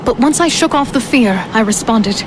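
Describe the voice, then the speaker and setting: A woman's voice: on the high side, expressive, moderate pace, background noise, lightly acted. A woman playing a defiant human fighter, telling her ordeal in first person as dramatic fantasy storytelling. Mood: tense, reflective. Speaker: narrator and heroine.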